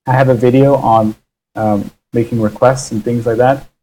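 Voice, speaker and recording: A man from the UK teaching a live online English lesson, explaining grammar in a relaxed way with many ums and uhs, on muffled livestream audio. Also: mid-range voice, moderate pace.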